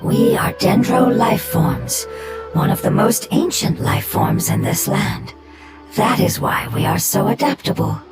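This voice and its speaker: An actor voicing an ancient, calm and caring fungus-like nature creature that speaks in a breathy, slow whisper. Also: medium pitch, full character voice. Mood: serene. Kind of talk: video game voice acting. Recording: game audio over loud music.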